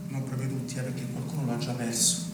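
An actor plays a smug, talkative provincial man in a live stage play, holding forth for local independence with prodding little questions. He is heard from far off in a big echo, under loud noise. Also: mid-range voice, little pitch movement, fast talker, close to an everyday voice. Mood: smug, preachy. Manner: rhetorical, prodding with tag questions. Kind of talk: live stage theatre acting. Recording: very echoey, distant, noisy stage recording.

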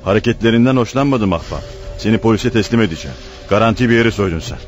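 An actor playing a gruff, suspicious bartender in a radio play, blunt and challenging. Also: male voice, lowish voice, quick pace, lo-fi sound, soft background music, lightly acted. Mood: suspicious, confrontational. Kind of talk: radio theatre acting.

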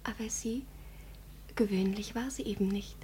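An actress as a hushed, earnest village child. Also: female voice, mid-range voice, steady tone, normal speed, a little hiss or hum, natural voice. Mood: dreamy. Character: earnest, loyal village child, dreamy storyteller. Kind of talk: German radio play acting.

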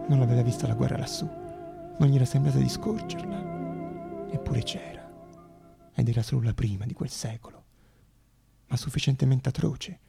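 A man's voice: mid-range voice, creaky. A man narrating radio theatre as an actor-storyteller, dramatic and emphatic, repeating phrases for effect.

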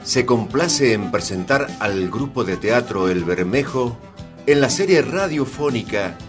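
A man presenting a radio drama series with a scripted announcement. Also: on the low side.

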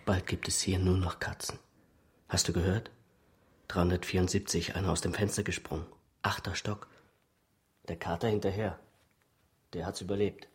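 An actor playing a desperate, jobless man in a radio drama, with a husky, raspy voice. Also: deep voice. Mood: grim, subdued. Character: desperate, jobless father pleading for help.

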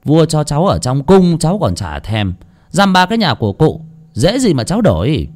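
A man voicing a cheeky, clever young peasant lad who teases and mocks in a bright, sly way. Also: mid-range voice, big pitch swings, normal speed. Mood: mocking.

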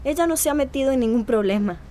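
An actress playing a calm, firm doctor with a Costa Rican accent, in a radio drama. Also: female voice, high-pitched, fast talker, very noisy. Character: calm, firm female doctor counseling a family.

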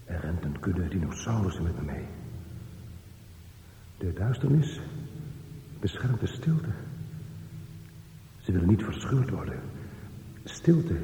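An actor playing an anxious, brooding lone man, musing in halting fragments in a radio play monologue. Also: lowish voice, dark tone. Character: anxious, brooding lone man walking through a dark tunnel. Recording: reverberant tunnel-like echo, faint noise.

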